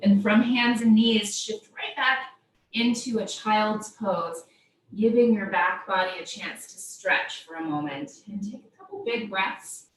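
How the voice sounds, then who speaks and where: A woman teaching yoga, guiding a body scan with gentle, invitational step-by-step cues. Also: medium pitch, unhurried. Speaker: yoga instructor. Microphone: distant microphone. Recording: very echoey room, distant mic, faint noise.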